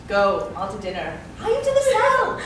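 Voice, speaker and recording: In a very echoey room, a woman acts out a bright, high-pitched old nurse from classical theatre. She rambles fondly, teases and complains with theatrical exclamations, some way from the microphone. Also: animated, moderate pace. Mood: scolding, fond. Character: chatty teasing old female nurse, complaining and fond. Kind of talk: classical stage acting of Shakespeare. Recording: echoey room, distant mic, faint noise.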